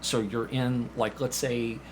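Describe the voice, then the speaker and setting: Guy on a podcast telling stories, does the dialogue, medium pitch, some noise behind him. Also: level tone, takes it slowly. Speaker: podcast guest, community theatre actor. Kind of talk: casual anecdotal interview chat.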